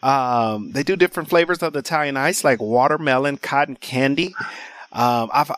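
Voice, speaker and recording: A man's voice: fairly high pitch, a bit bright, no room sound. A man hosting a live radio shopping show from a studio, rattling off deals in an upbeat sales patter and repeating the call-in number. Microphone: studio microphone.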